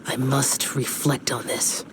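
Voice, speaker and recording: A man's voice: mid-range voice, flat delivery, slow, background noise, natural voice. A man playing a stern, solitary young immortal warrior spirit in an anime game, speaking tersely and formally. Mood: brooding, commanding. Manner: solemn, terse, formal, stoic.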